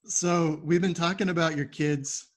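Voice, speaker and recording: A man's voice: a little high, steady tone, unhurried, heavy background noise. An American man hosting a podcast interview in a conversational style. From Philadelphia, United States. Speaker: podcast host.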